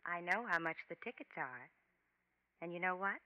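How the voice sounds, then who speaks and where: A woman's voice: lowish voice, lively intonation, normal speed, bright, forceful tone, muffled recording. A woman playing a coy, forward lady caller, coquettish and insistent, in 1950s radio acting. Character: coy, forward female caller, miffed admirer. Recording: 1950s radio drama recording.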